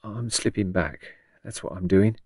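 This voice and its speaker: A man's voice: deep voice, unhurried, a little mellow, breathy edge, no room sound, studio quality. A man voicing a shrewd, blunt old working-class woman patient in an audiobook, chatty and colloquial with folksy endearments. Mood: wary, low. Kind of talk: audiobook character dialogue.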